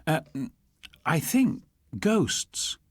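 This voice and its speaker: An eager young police constable from an audiobook crime tale, played by a male narrator. His voice lifts higher than the narration and moves around freely. He is self-conscious and hesitant, never quite sure of himself. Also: sing-song, drawn-out words, no echo, clean studio sound, full character voice. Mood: unsure, sheepish.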